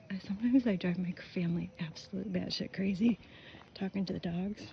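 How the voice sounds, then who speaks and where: A woman's voice: mid-range voice, normal speed, dull audio, slight background noise. Chatty older woman on a walk. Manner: chatty, gushing, softly spoken with breathy whispery stretches. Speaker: vlogger. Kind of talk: casual walking vlog. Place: outdoors on a neighborhood street.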